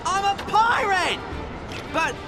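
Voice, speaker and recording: High-pitched scheming robot. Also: male voice, expressive, slow pace, over a noisy crowd, heavily acted. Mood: gleefully treacherous. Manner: theatrical, sly, mock-friendly. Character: scheming, boastful, cowardly robot pirate rogue. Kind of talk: animated video game character acting. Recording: music behind the voice.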